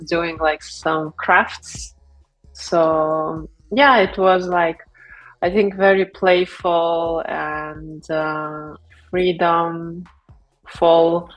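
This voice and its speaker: A woman from Lithuania speaking English, talking in a reflective, unhurried way with frequent fillers and soft laughs as an interview guest. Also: fairly low pitch, poor audio. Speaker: guest, brand founder. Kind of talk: casual interview talk.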